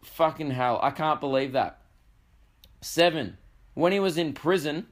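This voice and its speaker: Croaky sweary Australian man, rambling. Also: fairly high pitch. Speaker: podcast host. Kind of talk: casual comedic monologue.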